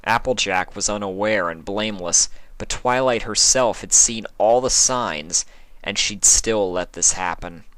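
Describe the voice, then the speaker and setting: A man's voice: on the low side, fairly bright tone, no echo. A man reading fan fiction aloud as dramatic scripted narration.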